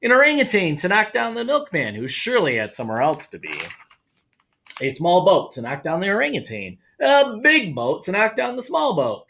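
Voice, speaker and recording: A man who works as an elementary school educator, reading a picture book aloud to children in an expressive, playful way with casual asides. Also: sits high, moderate pace, gravelly.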